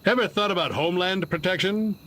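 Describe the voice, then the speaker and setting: A man's voice: high voice, fairly quick, a bit bright, slight background noise. A man voicing a slick, scheming 1950s government agent in a video game, with patriotic salesman patter. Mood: persuasive. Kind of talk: video game cutscene acting.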